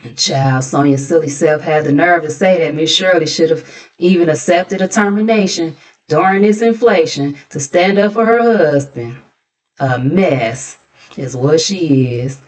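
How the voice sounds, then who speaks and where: A woman's voice: deep voice, unhurried, a little mellow, rough recording. An American woman hosting a gossip recap, chatting casually in a sassy, conversational way with mocking asides. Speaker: host of a gossip commentary channel.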